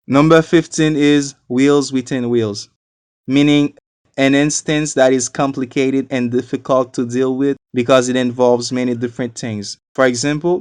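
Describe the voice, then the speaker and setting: A man from Haiti, a non-native English speaker, giving a scripted English lesson with deliberate, explanatory enunciation. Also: medium pitch, clear, resonant tone, dry recording, studio quality. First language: not a native English speaker. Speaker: English teacher. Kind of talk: scripted educational narration.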